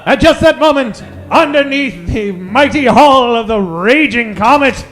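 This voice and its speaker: A man's voice: extremely high pitch, expressive, unhurried, fairly bright tone, soft background music, full character voice. A man narrating in a theatrical, suspenseful old-time radio serial style.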